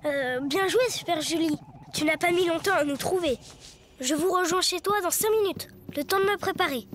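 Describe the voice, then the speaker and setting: A woman voicing a small boy superhero in a cartoon, boastful one moment and sheepish the next, with quick exclamations. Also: extremely high pitch, crowd noise. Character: small boy cosmic superhero, proud and bashful. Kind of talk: cartoon dubbing. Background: cartoon music.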